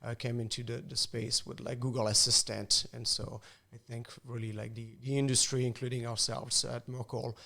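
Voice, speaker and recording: A man's voice: mid-range voice, little pitch movement, normal speed, vocal fry, hardly any pauses, bright, forceful tone. A man with a French accent answering as an expert guest in conversation, with hesitant, rambling phrasing. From France.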